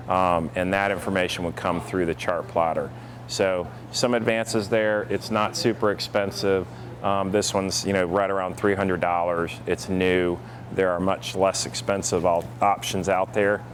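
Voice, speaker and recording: A man giving a casual product review as store staff, explaining informally with hesitant pauses. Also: sits low. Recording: noticeable background hum and noise.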